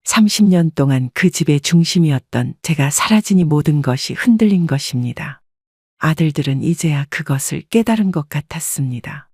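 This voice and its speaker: A woman narrating a scripted first-person story in a calm, reflective way. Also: low-pitched, moderate pace, breathy edge, no room sound, clean studio sound.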